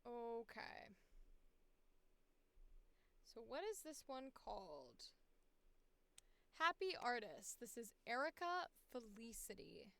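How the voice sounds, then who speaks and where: A woman's voice: on the high side, very animated, takes it slowly, vocal fry, pauses often, bright, forceful tone. A woman voice actor chatting casually on a livestream, informally thinking aloud as she works.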